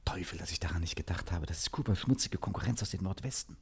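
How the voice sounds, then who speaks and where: A man playing a suave, cocky gentleman burglar in a 1950s radio crime comedy, wryly lecturing and grumbling with theatrical delivery. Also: low voice, lively intonation, quick pace, full character voice. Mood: alarmed, hushed. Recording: old radio recording with clear noise.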